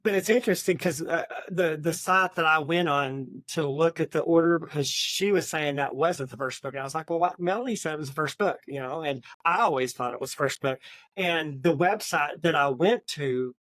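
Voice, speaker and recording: A man's voice: fairly high pitch, moderate pace, a bit bright, no echo. A man co-hosting a podcast in a casual book discussion, with an agreeable, informal way of talking. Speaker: book podcast co-host and author.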